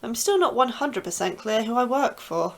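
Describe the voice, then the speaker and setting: A woman's voice: fairly high pitch, big pitch swings, normal speed, creaky. A woman playing an anxious, questioning astrobiologist in a sci-fi horror audio drama. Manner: earnest and questioning, a little anxious.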